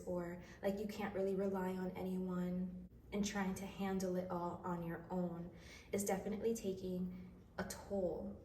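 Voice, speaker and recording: A woman's voice: medium pitch, mellow. A woman hosting a tarot reading in a casual, chatty, reassuring way, with a slightly stuffy nose from crying. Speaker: tarot reader and host. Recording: distant mic, roomy echo, faint noise.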